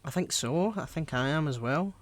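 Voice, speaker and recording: A man's voice: fairly high pitch, lively intonation, moderate pace. A young man co-hosting a podcast interview in a jokey, upbeat way. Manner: jokey, upbeat, uses "wee". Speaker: podcast co-host. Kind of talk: casual interview chat.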